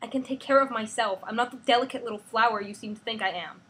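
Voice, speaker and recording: A woman's voice: fairly high pitch, quick pace, a bit bright, husky. A woman voicing a headstrong teenage girl hero who pushes back with raised, indignant lines. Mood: defiant.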